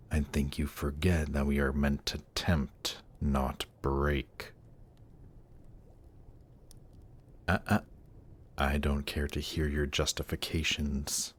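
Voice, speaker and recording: A man's voice: rumbling low, breathy edge, heavily acted. A man playing a suave, haughty demon prince in a fantasy audio drama, speaking in a polished, courtly and condescending manner. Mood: quietly menacing.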